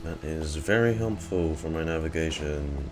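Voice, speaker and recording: Low-voiced man streaming a game. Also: moderate pace. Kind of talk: casual livestream gameplay commentary.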